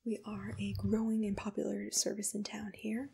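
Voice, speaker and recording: A woman whispering softly and attentively in a soothing, reassuring ASMR roleplay. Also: mid-range voice, completely flat delivery, moderate pace. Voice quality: breathy whisper.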